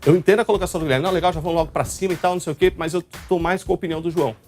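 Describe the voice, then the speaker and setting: A quick-paced male host with a São Paulo state Brazilian accent, at a mid-range pitch, talking football live over background music. His delivery is chatty and colloquial, with some teasing. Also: steady tone, darkish tone. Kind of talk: casual live football talk show commentary. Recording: music under the voice.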